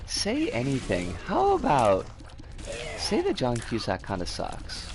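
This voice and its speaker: Scratchy-voiced man streaming, lively pitch swings. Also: takes it slowly. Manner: casual, sweary, opinionated. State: hoarse, voice strained by an oncoming cold. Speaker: game streamer. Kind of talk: casual live game commentary and chat.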